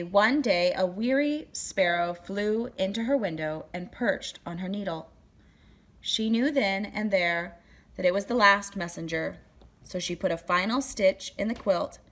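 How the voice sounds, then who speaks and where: A woman reading a children's picture book aloud as narrator, with deliberate, expressive phrasing. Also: mid-range voice. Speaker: storybook reader.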